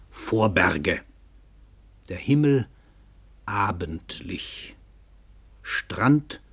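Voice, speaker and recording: An actor playing a brash, dissolute, world-famous novelist who addresses radio play listeners in a theatrical, ironic way with hesitant asides. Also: male voice, lowish voice, breathy edge. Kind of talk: radio play monologue, narrating to the audience.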